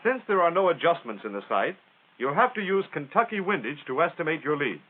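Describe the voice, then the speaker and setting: American male narrator for a 1940s military instructional film; plain-spoken and folksy, highly animated intonation, thin vintage soundtrack sound. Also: brisk. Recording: tinny, band-limited 1940s film soundtrack.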